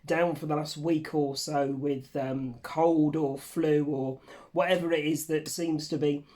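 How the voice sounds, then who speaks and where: Hoarse man with a cold. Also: fairly high pitch, steady tone, a little slow, mellow. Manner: chatty, rambling, casually swearing. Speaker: channel host. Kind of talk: casual vlog chat. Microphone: facing camera under a ring light.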